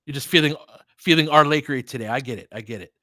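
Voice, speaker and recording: A man hosts live basketball commentary in a relaxed, chatty style. He follows the play in short, clipped phrases and openly sides with his team. The sound is dry, with very little room in it. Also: mid-range voice, fast, dry recording. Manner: conversational, reacting as a partisan fan in short clipped phrases. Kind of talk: casual live play-by-play commentary mixed with fan chat.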